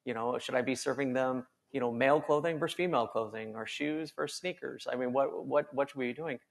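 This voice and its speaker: A man speaking on a conference panel, quick and enthusiastic, often repeating words as he thinks. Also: mid-range voice, creaky. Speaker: panel speaker, company executive.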